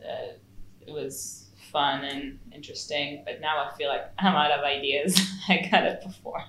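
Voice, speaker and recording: A woman's voice: low voice, steady tone, normal speed, a bit bright. A young woman, a saxophonist speaking English as a second language, chatting casually in an interview with frequent fillers and laughs. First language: not English. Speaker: saxophonist and music producer. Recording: echoey room with faint noise.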